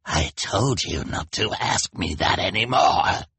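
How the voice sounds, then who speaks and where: A man voicing a wicked, impatient storybook villain with a raspy snarl and a sharp, domineering manner. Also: low voice, lively intonation, measured pace, no room sound, full character voice. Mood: irritated snarl.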